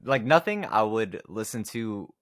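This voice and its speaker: A man's voice: fairly low pitch, animated, unhurried, fairly bright tone, no echo. A young man co-hosting a podcast, talking casually. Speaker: podcast co-host. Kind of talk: casual chat.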